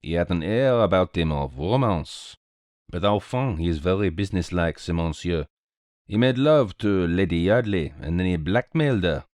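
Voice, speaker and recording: A man's voice: sits low, lively intonation, moderate pace, a little mellow, no echo, studio quality. A man voicing a small, cheerful, self-assured detective with a courteous, precise, theatrical manner, in a storybook reading. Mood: knowing, animated.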